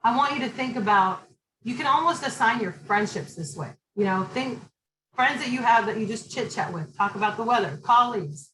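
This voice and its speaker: A middle-aged woman teaching a college class, talking loudly and directly in a chatty way. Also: on the high side, expressive, a little fast, echoey room, a little hiss or hum, rough recording. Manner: direct, talkative, loud. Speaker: college instructor. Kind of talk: online class lecture.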